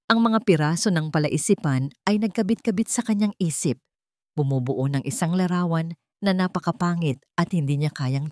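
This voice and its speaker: A woman's voice: low voice, mellow, no room sound, studio quality. A woman with a Filipino accent reading a scripted romance drama as a storyteller, with dramatic delivery. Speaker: audio story narrator.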